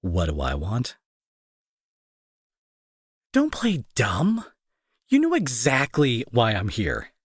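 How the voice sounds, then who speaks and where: An irritable young male bully, acted by a man, speaks in a slow, dramatic delivery that swings widely in pitch. He is sarcastic and scolding with worry underneath, in a dry, close audio-drama recording. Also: wildly swooping pitch, breathy edge, no echo, heavily acted. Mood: irritated, demanding. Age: young adult. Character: irritable young male bully, gruff but secretly worried. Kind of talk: intimate roleplay audio drama acting.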